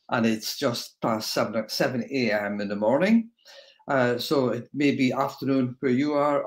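An older man from Scotland with a Scottish accent, giving a relaxed, rambling webinar lecture over a video call from his home. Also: mid-range voice. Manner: relaxed, rambling with fillers and restarts. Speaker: guest speaker.

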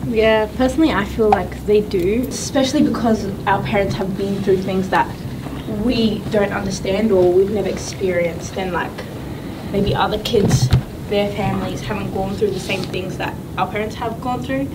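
A woman's voice: medium pitch, steady tone, normal speed. Teen female student, thoughtful and personal, distant mic in a noisy classroom. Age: teenage. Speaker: high school student. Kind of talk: interview answer. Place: school classroom. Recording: distant mic with room ambience.

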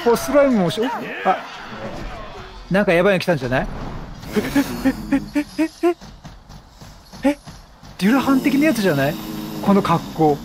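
A man giving excitable, chatty video game commentary, exclaiming often and playfully mimicking the characters. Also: high-pitched, a little slow, pauses often, noisy background. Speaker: gameplay streamer.

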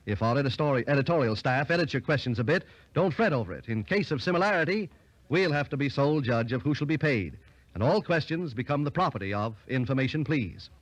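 A man's voice: medium pitch, lively intonation, fast, dry recording, rough recording. An American man reading a scripted 1940s radio sponsor announcement. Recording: old 1940s radio broadcast.

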